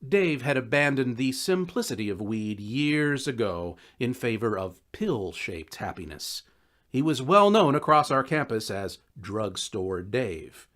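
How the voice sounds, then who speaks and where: Animated man narrating a story. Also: medium pitch, a little slow. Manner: wry, conversational, expressive. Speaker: audiobook narrator. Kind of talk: animated first-person storytelling, scripted narration.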